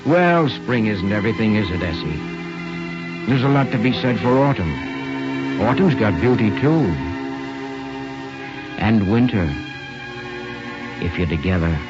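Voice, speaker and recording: A male actor playing an awkward, tender middle-aged American father in a halting 1940s radio drama style. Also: fairly low pitch, expressive. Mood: tender, reflective. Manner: halting, hemming and throat-clearing. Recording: muffled vintage radio with music behind.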